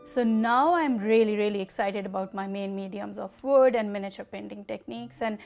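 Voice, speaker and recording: Pakistani-accented woman with a creaky voice. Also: mid-range voice, brisk, darkish tone, soft background music. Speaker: artist interviewee. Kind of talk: personal interview storytelling.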